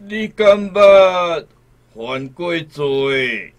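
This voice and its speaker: An actor with a Taiwanese accent playing a pompous, unfeeling colonial magistrate in a radio drama, drawling officiously. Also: male voice, a little high, animated, extremely slow. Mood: officious, dismissive.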